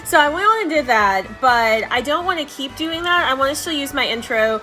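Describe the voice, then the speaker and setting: A woman's voice: sits high, lively intonation, a little fast, bright, forceful tone, faint music behind, low-quality recording. A woman hosting a video editing tutorial in a casual, chatty, informal way.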